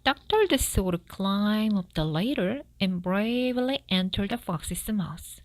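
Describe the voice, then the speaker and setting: Woman reading a storybook, slow and lively. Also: medium pitch, dry recording. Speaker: storybook reader. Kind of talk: expressive children's read-aloud narration. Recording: background music behind the voice. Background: background music.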